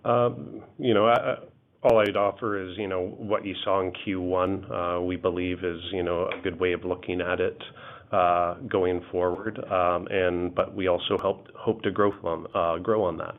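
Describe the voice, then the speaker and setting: Finance exec guy, low flat voice, explaining numbers on an earnings call. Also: normal speed. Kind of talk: explanatory answers on an investor call. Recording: narrow tinny phone line.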